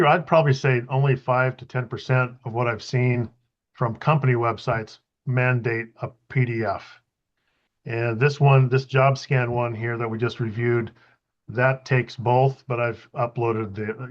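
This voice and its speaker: A man giving a casual, tentative explanation in an online group meeting over a video call. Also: mid-range voice. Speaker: presenter sharing job-search tool experience. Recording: slightly muffled video-call audio.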